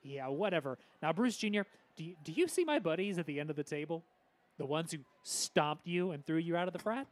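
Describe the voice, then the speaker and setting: A man's voice: fairly high pitch, lively intonation, brisk, natural voice. A man playing a smug frat-boy bully. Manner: smug and dismissive, with threatening drawl.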